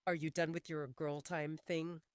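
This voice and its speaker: A woman's voice: deep voice, flat delivery, unhurried, clear, resonant tone, no room sound, studio quality. A woman voicing a jealous, irritable male werewolf alpha in an audio romance drama. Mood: impatient.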